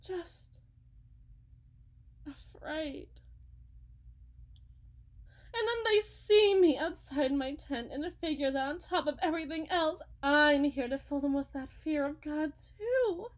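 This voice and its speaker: Woman playing a female prophet and healer, very high-pitched, slow, sweetly coaxing, fervent, theatrical, unsettling, roleplay monologue. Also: animated. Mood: sorrowful, resigned. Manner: theatrical, pleading, sing-song preaching with drawn-out words and sudden hushes. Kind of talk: dramatic ASMR roleplay monologue.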